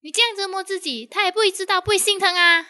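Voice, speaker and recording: A woman's voice: very high-pitched, quick pace, sharp tone. A woman acting an outspoken, protective young friend in a radio drama. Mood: scolding.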